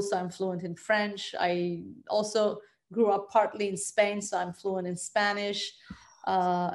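A woman whose first language is Turkish speaks gently and earnestly as a trauma therapist guest in a casual interview, often pausing and hesitating. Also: mid-range voice. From Turkey.

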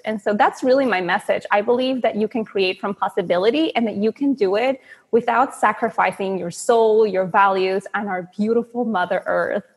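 A woman's voice: mid-range voice, slightly rough, in a large hall. A woman from Venezuela in her thirties, a coach telling her story earnestly in a podcast interview. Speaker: guest, manifestation coach.

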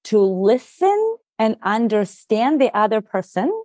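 A woman's voice: a little high, sing-song, a little slow, warm tone, no echo, studio quality. A woman whose first language is Russian, a podcast guest and leadership coach, explaining things earnestly in casual conversation with frequent "you know" and "like".

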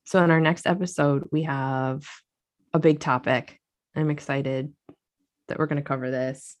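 A woman's voice: low voice, steady tone, moderate pace. A woman co-hosting a podcast, chatting casually with her sister, with lots of fillers and easy laughter. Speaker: podcast co-host. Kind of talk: casual chat.

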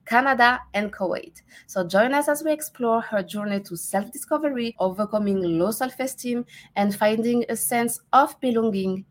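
A woman's voice: on the high side, moderate pace, hardly any pauses. A woman hosting an interview podcast, asking questions and giving short encouraging affirmations. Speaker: podcast host.

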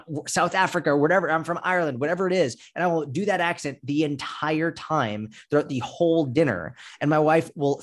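A man in his thirties, a fitness coach, talking as a podcast guest in an emphatic, explanatory, casually sweary way. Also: on the high side. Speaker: fitness coach and content creator, interview guest.

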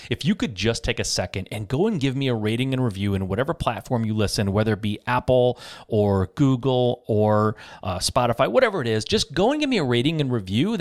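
A man with a mid-range voice speaks directly to his listeners before the show. He keeps it casual and friendly. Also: medium pitch. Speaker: podcast host. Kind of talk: casual promo request.

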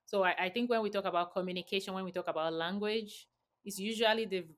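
A woman's voice: mid-range voice, quick pace. A woman hosting a podcast, asking long, rambling questions in several parts and reacting casually to her guest. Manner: asks long, rambling multi-part questions. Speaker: podcast host.

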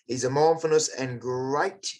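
A man's voice: mid-range voice, measured pace, darkish tone. A man with an Australian accent hosting a podcast, enthusiastic and matey, often stumbling and restarting his sentences. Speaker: podcast host. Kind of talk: casual podcast interview.

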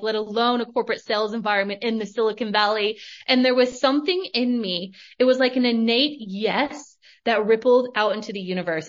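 A woman's voice: fairly high pitch, fairly bright tone, muffled recording. A woman in her thirties from Northern California, hosting a podcast and telling her story in an emphatic, motivational way, repeating phrases and swearing mildly. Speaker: podcast host.

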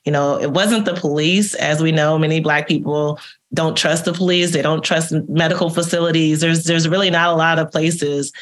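A woman's voice: low-pitched, fairly even intonation, moderate pace. A woman therapist from Texas, telling her life story earnestly as a podcast guest. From Texas, USA. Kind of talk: personal storytelling in an interview.

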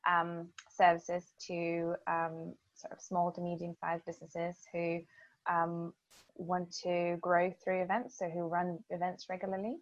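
A woman with a Lithuanian accent, her native language, talks as an interview guest. She is hesitant, filling many pauses, and keeps a flat, even pitch. The recording is dry and somewhat dull. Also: no echo. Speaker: guest, events marketing business owner. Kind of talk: casual interview chat.

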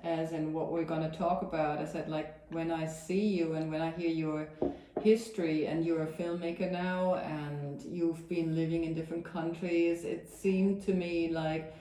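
A woman's voice: sits low, level tone, moderate pace, hardly any pauses, mellow, slight background noise. Woman podcast host in an echoey room. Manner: chatty, encouraging, thinks aloud with fillers. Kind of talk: casual interview chat. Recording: roomy echo.

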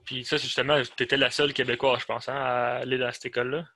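A man with a bright, forceful voice who asks long questions that wander, full of hesitations. His tone stays even throughout. He sounds close and dry. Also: mid-range voice, fast talker, dry recording. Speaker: interviewer. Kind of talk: casual interview questions.

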